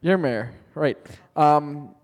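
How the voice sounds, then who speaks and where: Male teacher, playful class story, slow unhurried talk, slightly raised voice, echoey classroom. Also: on the high side, a little mellow. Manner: chatty, joking, calls on students by name.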